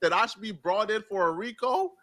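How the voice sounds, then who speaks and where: A man's voice: high-pitched, a little fast, over a noisy crowd. A man giving opinionated news commentary to viewers in an emphatic, incredulous, slangy way. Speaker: online video commentator.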